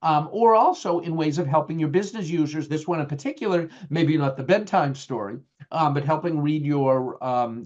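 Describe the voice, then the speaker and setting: A middle-aged man with a Boston accent presenting a webinar in a loose, conversational way, full of fillers and restarts. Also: medium pitch. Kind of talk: webinar talk with slides. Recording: dull lo-fi webinar audio.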